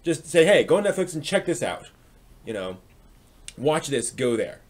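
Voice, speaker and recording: A man hosting a live stream in casual, halting conversation, reading out and answering chat comments. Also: on the high side, big pitch swings.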